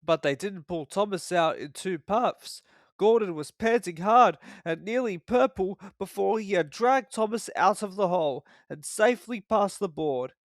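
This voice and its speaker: Male storyteller, children's read-aloud narration, high pitch, animated intonation. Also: unhurried, no room sound, clean studio sound.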